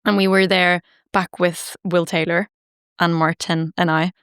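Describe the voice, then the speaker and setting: A singer-songwriter woman from Norway, chatting casually in an interview, laughing easily and filling pauses with ums and likes. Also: on the low side, little pitch movement, a bit bright, clean tone, no room sound, studio quality. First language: Norwegian.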